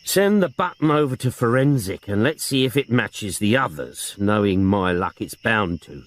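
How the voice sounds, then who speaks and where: A man's voice: lowish voice, animated, moderate pace, natural voice. A man voicing a blunt, foul-mouthed middle-aged police inspector with a sarcastic streak. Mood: sardonic. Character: gruff, crude, sarcastic male police inspector.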